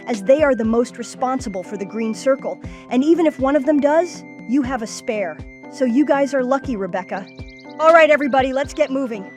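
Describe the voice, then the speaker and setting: A woman plays an earnest young boy squad leader with a high voice, giving orders in an animated comic dub. Music plays clearly behind the lines. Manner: commanding, earnest.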